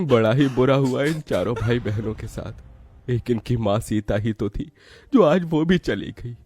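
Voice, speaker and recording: A man's voice: medium pitch, unhurried, darkish tone, breathy edge, dry recording. A man playing a kindly, sympathetic, paternal village elder and merchant in a cartoon story. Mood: pitying. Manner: sympathetic, fatherly. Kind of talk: acted dialogue for a cartoon story.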